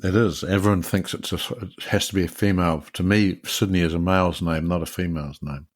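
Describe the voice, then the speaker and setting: A man's voice: low-pitched, steady tone, brisk. A man with a Texan accent co-hosting a podcast, laid-back with a dry sense of humor.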